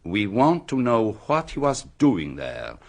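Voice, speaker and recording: An actor in a radio drama playing a shrewd, courteous detective, formal, deliberate and slyly knowing. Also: male voice, lowish voice, huge pitch swings, heavily acted. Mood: probing, firm. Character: shrewd, courteous, self-satisfied Belgian detective.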